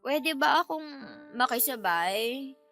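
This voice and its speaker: A young Filipina radio drama heroine, quick to banter and in love. Her voice sits high and rings bright and forward. The Filipino-accented speech is expressive and colloquial, with music underneath. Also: heavily acted. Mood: tipsy, pleading.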